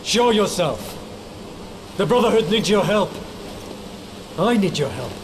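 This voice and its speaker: An actor playing a grieving holy warrior in a fantasy game, speaking in an earnest, grave and formal manner. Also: male voice, high voice, a little slow. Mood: urgent, calling out. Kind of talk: dramatic video game acting. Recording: clear steady background hiss.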